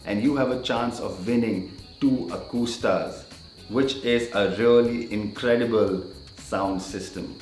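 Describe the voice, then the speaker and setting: Male vlogger, thirties, low-pitched and chatty, upbeat host delivery, room echo, light music underneath. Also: unhurried. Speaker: video creator and host. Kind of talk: casual vlog talk to camera, giveaway announcement. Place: indoor room with shelves. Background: faint background music.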